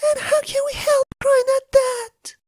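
A reader voicing a silly, anxious young woman from a fairy tale in a very high, breathy, tearful whisper. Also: measured pace, no room sound. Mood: tearful fretting. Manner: wailing, tearful. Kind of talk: storybook reading.

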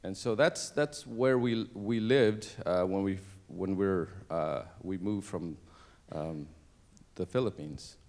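A middle-aged man from Cambodia, a native Cambodian speaker, telling his life story on a church stage into a handheld mic, halting with many fillers and restarts. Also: low voice, a little mellow. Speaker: interview guest. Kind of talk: personal testimony on stage.

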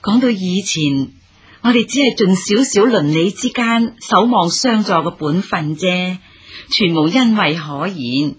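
An actress playing a courteous elderly mother in a radio drama, speaking expressive Hong Kong Cantonese with a husky, deferential voice. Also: on the low side, measured pace, exaggerated voice. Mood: humble, polite. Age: older. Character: humble, courteous elderly mother of a young scholar.

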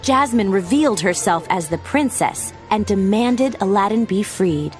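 A woman reading a children's storybook aloud as narrator, with expressive scripted storytelling delivery. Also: mid-range voice, measured pace. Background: background music.

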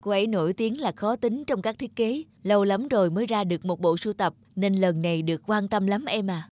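A woman playing an elegant senior female designer in a cartoon, gently advising. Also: mid-range voice, brisk, no echo, studio quality, natural voice. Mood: encouraging. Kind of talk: cartoon dubbing.

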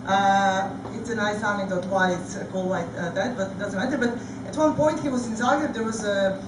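A woman's voice: mid-range voice, brisk, far from the mic, noisy background. A woman moderating a panel, speaking hesitantly with many fillers. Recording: echoey hall recorded from afar.

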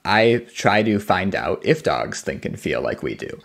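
A man speaking as a scientist being interviewed, explaining his work in a thoughtful way with carefully measured wording. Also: sits low, big pitch swings, vocal fry, a bit bright.

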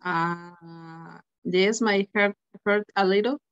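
A woman whose first language is Spanish, reading aloud haltingly as a student in an English lesson. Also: lowish voice, fairly even intonation, clear, resonant tone, dull audio. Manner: slow, halting reading with hesitations.

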